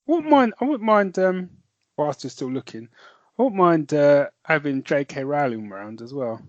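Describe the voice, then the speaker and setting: A man's voice: fairly high pitch, expressive, normal speed, dry recording, lo-fi sound. Man, podcast co-host, rambly, laughs a lot, dry studio sound. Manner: rambling, self-correcting, laughs easily. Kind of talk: casual podcast chat.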